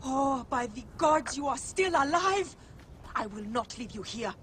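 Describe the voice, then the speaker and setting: A woman's voice: sits high, lively intonation, measured pace, fairly bright tone, slight background noise. A woman playing a tough, caring rebel leader of ancient Egypt in a video game, firm and resolute. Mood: urgent, determined. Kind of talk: video game cutscene acting.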